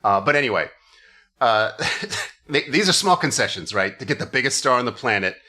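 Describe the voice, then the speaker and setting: A man's voice: mid-range voice, lively intonation, normal speed, bright, forceful tone. A man hosting a comedy podcast, telling stories casually with wry, profane jokes.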